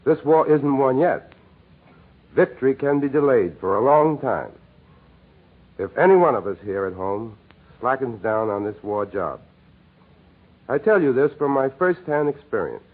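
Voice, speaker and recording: A man's voice: medium pitch, big pitch swings. Emphatic American man, muffled 1940s radio address. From United States. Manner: earnest, emphatic, measured phrasing. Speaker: war correspondent. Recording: muffled, narrow-band 1940s radio broadcast.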